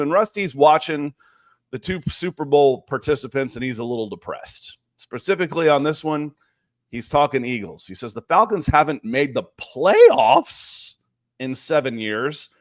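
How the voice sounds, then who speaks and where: Male podcast host, 50s, mid-pitched, casual live sports talk, conversational, very dry recording. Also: dry recording.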